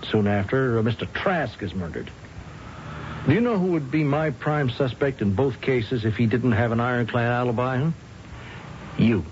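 An actor playing a persistent police detective with halting, deliberate questioning in a radio drama. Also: male voice, medium pitch, lively intonation, darkish tone, lightly acted. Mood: probing. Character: persistent, hesitant-sounding police detective quietly probing his suspect. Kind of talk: 1970s radio drama acting. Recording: muffled, hissy old radio broadcast.

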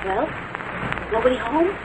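An actress playing a detective's teasing, quick-witted female sidekick in an old-time radio drama, arch and playful. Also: fairly high pitch, animated. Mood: arch, curious. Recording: noisy vintage radio recording.

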